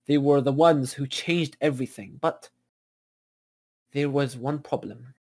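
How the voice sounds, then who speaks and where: A man reading as the solemn storybook narrator of a fantasy epic, earnest, declamatory and a little halting. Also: mid-range voice, a little mellow, lightly acted.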